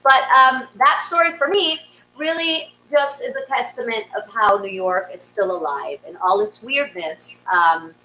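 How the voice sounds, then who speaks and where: Female comedy host, animated and conversational, very bright voice in an echoey room. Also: on the high side, measured pace. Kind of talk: casual comic storytelling and hosting. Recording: roomy echo, faint noise.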